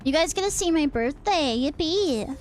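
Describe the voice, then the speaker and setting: A woman live-streaming a game, chatting casually, swearing freely and blurting out quick reactions. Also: high-pitched, animated, faint music behind. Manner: reads on-screen game text aloud between comments.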